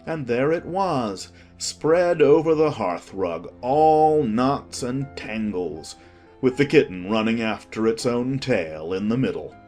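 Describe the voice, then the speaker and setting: A man narrating a children's audiobook in an expressive, measured storytelling manner. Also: medium pitch, faint music behind.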